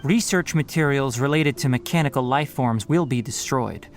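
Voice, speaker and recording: A man voicing a young, composed and responsible forest ranger scholar in a video game, measured and instructive, with music behind. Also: mid-range voice, slight background noise, natural voice. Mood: firm, instructive. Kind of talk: video game character voice acting.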